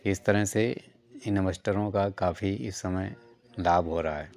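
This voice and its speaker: A man's voice: sits low, steady tone, measured pace, a little mellow. A man narrating a stock market news vlog over slides, going through numbered reasons and calling the viewers friends.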